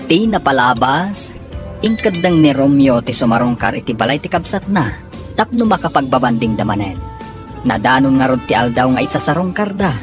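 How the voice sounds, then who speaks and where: Brief: woman narrator for a radio serial, low and deep, very lively melody, music playing beneath. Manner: announcing, formal and measured. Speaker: radio drama narrator and announcer. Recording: music bed under the voice.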